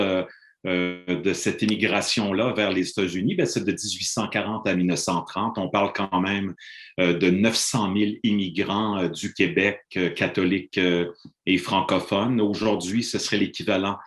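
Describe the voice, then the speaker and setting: A middle-aged man gives a measured panel talk as a university lecturer over a video call, pausing often on "euh". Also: low-pitched, fairly even intonation, fairly bright tone. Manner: measured, explanatory, frequent "euh" hesitations. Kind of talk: online panel presentation. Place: room in Montreal, remote presentation. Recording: roomy video call audio.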